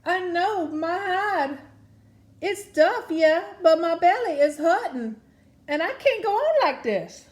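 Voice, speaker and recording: A woman's voice: very high voice, animated, slow pace, pitched above the performer's own voice, heavily acted. A woman voicing a tough-hided pet alligator in pain, hollering plaintively in a storybook reading. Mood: pained, pleading. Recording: roomy echo around the voice.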